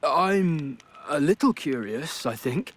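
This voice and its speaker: A man's voice: on the high side, expressive, slow pace. An actor playing a shy, hesitant young man in a video game cutscene. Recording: music behind the voice.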